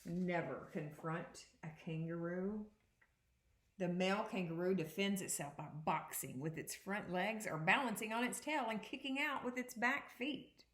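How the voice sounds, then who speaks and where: A woman with a mid-range voice reads a picture book aloud for young children. She phrases things deliberately, like a teacher making each point understood. The room around her voice is noticeably echoey. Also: medium pitch, fairly bright tone. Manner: deliberate teacherly phrasing, pausing to explain words. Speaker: second-grade teacher.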